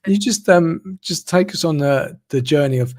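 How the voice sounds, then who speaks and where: A man's voice: fairly high pitch, normal speed, darkish tone. A man hosting a live online interview, rambling and repeating words as he talks. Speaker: host.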